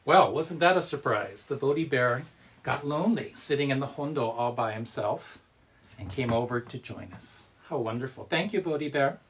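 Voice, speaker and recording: A man's voice: mid-range voice, animated, normal speed, a bit bright, a little hiss or hum. A man speaking as a storyteller and service leader, addressing children and families in simple, friendly language.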